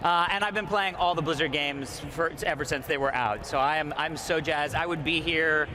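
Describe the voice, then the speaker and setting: A man hosting a live broadcast in an upbeat, jokey, casual unscripted way, full of excitement. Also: a little high, moderate pace. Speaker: event broadcast host. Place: live set in a convention center. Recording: noisy convention hall background.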